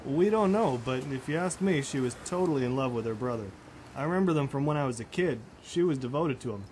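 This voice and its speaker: A matter-of-fact male police officer in a radio drama, played by a man. His mid-range voice explains things plainly over clear street noise and police sirens. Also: medium pitch, lively intonation, fast, lightly acted, rough recording. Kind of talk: amateur radio play acting. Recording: loud outdoor street ambience with police sirens. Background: sirens and street ambience.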